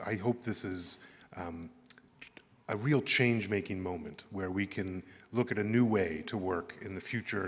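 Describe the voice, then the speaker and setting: A Canadian man giving earnest closing remarks at an arts summit, halting and thoughtful, with many fillers. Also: on the low side. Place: large conference hall. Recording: echoey hall with long reverb.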